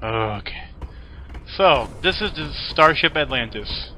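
A man's voice: medium pitch, expressive, slow pace, sharp tone, slight background noise, low-quality recording. A man giving casual let's play commentary as host, with offhand asides to the game. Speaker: gaming video host.

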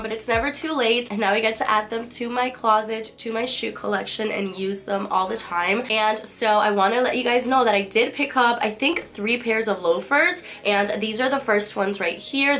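A young woman talks to a camera set at desk distance in a room indoors. Her voice sits a little on the high side and is still recovering from being lost. She stays bubbly and chatty, doubling words for emphasis. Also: fairly bright tone. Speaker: fashion haul vlogger. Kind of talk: casual chatty haul vlog. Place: bedroom with holiday tree and boxes, indoors.

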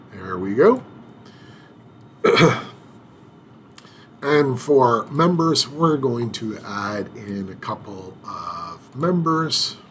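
A man with a fairly low voice talks slowly through a computer walkthrough, stopping to hum and restart as he clicks along. A faint hiss sits under his voice at the desk mic. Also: fairly bright tone. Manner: unhurried, hesitant, many filler sounds and restarts. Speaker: IT administrator demonstrating software setup. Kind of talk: screen-recorded tutorial walkthrough.

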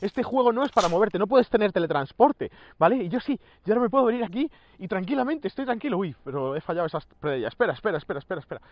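A man in his thirties with a Spanish accent from Spain, giving excited commentary while playing a virtual reality game outdoors, exclaiming and laughing at his viewers. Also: high voice, racing, no echo, lo-fi sound. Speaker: VR gaming youtuber. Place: outdoors on an empty road at dusk. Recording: music under voice. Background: background music.

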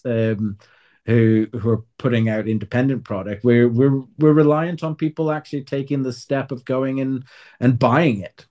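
A man's voice: mid-range voice, a little mellow. Earnest, wry man in conversation. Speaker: author and guest. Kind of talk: conversational interview answers.

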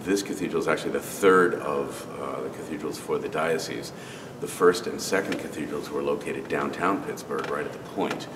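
A middle-aged man, a cathedral organist, talking casually through a spoken tour with relaxed fillers and restarts. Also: extremely low pitch, normal speed, distant mic. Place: large stone cathedral, organ console. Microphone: camera at medium distance. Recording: roomy cathedral reverb, off-mic, air-conditioning hum.

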